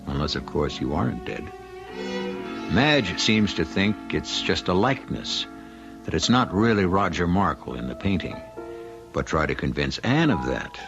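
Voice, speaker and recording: A man's voice: sits low, big pitch swings, moderate pace. A man playing the wry, suspenseful host of an old-time radio mystery, narrating with theatrical, insinuating phrasing. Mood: wry, teasing. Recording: muffled vintage radio recording, music behind.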